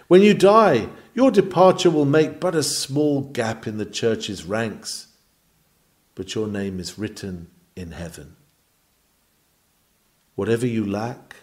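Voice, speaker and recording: A man's voice: on the high side, mellow. A man reading an old sermon aloud from a script, in an earnest, oratorical manner with emphatic phrasing. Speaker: reader of historical sermons.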